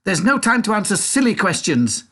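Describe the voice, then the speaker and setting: A man doing a shouty, excitable Willy Wonka. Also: sits high, moderate pace, pitched above the performer's own voice, exaggerated voice. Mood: impatient. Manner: shouting, bossy, gleeful. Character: excitable eccentric male chocolate-factory owner.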